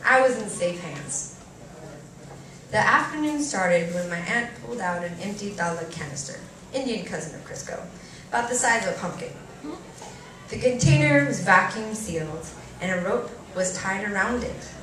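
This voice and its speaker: Woman, live story reading, expressive and theatrical, medium pitch, very echoey venue, faint background noise. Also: normal speed. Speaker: reader performing a short story at a live event. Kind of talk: expressive dramatic reading of a first-person story. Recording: echoey room, distant mic, faint noise.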